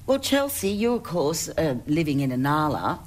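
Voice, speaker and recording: A woman's voice: low voice, big pitch swings, moderate pace, noisy background. A woman with an Australian accent moderating a live panel in a conversational style.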